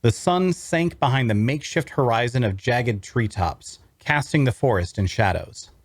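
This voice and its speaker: Male narrator, mid-pitched and very expressive, first-person storytelling, close dry recording. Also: dry recording. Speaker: voice actor.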